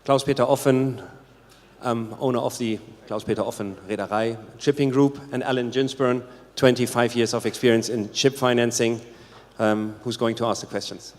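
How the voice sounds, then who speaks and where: A man moderating a conference forum, introducing the next speakers at a middling pitch with halting filler pauses. His voice rings out with long reverb from the hall. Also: steady tone, darkish tone. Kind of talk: stage introduction.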